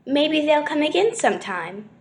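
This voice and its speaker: A woman's voice: high-pitched, sing-song, moderate pace, voice pushed up. A woman voicing an eager, chirpy little girl companion in a storybook.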